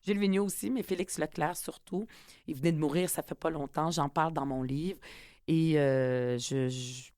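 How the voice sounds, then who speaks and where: Guest, woman in her 40s, Quebec accent, native Arabic speaker, low pitch, dry close sound, earnest and halting. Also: steady tone, darkish tone, no room sound. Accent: Quebec French. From Middle East, raised in Quebec from age ten. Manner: earnest and reflective, many hesitations. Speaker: politician and author guest. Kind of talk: conversational interview.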